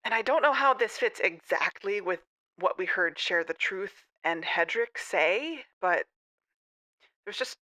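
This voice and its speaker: A woman's voice: mid-range voice, animated, no echo. A woman playing a hesitant, troubled investigator. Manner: hesitant, soft, halting. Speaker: investigator in audio drama. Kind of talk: audio drama acting.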